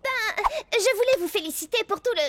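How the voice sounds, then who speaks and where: A woman's voice: very high voice, expressive, a little fast, noisy background. A woman voicing a vain young female germ in a French cartoon dub, showy and dramatic, craving the spotlight. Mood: boastful. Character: vain young female germ, stage-struck attention-seeking diva. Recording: music under the voice.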